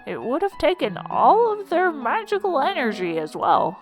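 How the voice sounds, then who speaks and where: Woman voicing a folksy old monster teacher, animated swoops. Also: high voice, moderate pace. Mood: earnest, folksy. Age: older. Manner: folksy, explanatory, colloquial. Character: folksy, wry old monster schoolteacher explaining history. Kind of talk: character voice in fan comic dub. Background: music.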